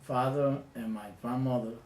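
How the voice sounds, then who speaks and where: A man's voice: medium pitch, fairly even intonation, darkish tone. Dominican man recalling childhood, echoey room. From Dominican Republic. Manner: reflective, hesitant storytelling with many pauses. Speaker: interviewee. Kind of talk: recounting childhood memories. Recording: echoey room with faint ambient noise.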